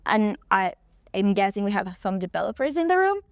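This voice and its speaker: A woman presents at a conference, setting out her points in order. Her voice is smooth and clear and sits at a middle pitch. The sound is close and dry, with no room echo. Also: sing-song, brisk. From Colombia. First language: Spanish. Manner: explanatory, walking through points in order. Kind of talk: stage presentation.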